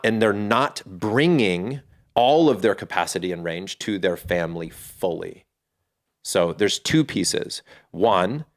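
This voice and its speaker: A middle-aged man speaking as a podcast guest, earnest and reflective, often restarting his sentences as he thinks aloud. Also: lowish voice. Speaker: podcast guest, fatherhood community founder. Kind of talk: casual interview talk.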